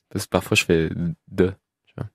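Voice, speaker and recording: A man in his twenties from Belgium, a musician guest chatting casually in an interview, relaxed with frequent laughs and fillers. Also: deep voice, measured pace, husky, no room sound, studio quality. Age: 20s.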